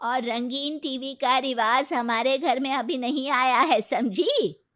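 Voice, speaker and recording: A woman's voice: high-pitched, takes it slowly, fairly bright tone. A woman voicing a stern, bossy mother-in-law with an emphatic, scolding way of talking for a cartoon moral story.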